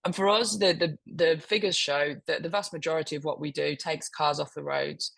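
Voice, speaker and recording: A woman speaks on a webinar panel with a hoarse, croaky voice from a cold. Her tone is gravelly, and she explains her public affairs work in fluent, polished corporate phrasing. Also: low voice, steady tone, moderate pace.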